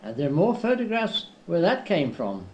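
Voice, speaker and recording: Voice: mid-range voice, wildly swooping pitch, normal speed, very noisy. An amateur performer voicing a shifty, mock-polite begging politician in a comic radio-play reading, on old cassette tape. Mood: sly, persuading. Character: shifty, sly begging politician and would-be train robber.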